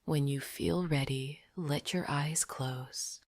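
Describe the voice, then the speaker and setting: A woman narrating a scripted sleep meditation in a soft, breathy near-whisper, gentle and deliberate. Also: sits low, no room sound, studio quality.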